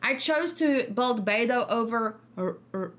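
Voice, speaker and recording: Higher-voiced woman streamer, thinking aloud. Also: lively intonation, a little slow, a bit bright. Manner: rambling, thinking aloud, hesitant. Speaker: streamer host. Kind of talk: casual livestream reaction and discussion.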